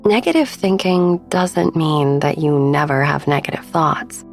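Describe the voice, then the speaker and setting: A woman hosting a sleep meditation podcast, speaking in a gentle, unhurried, intimate way as she narrates soothingly. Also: low voice, soft background music. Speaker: podcast host and meditation guide.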